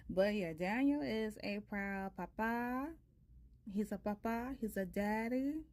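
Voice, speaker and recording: A woman in her thirties with a soft voice, a tarot reader chatting casually and ramblingly with her viewers. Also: medium pitch, slow. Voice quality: soft-spoken. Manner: rambling, many fillers. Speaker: tarot reader, channel host.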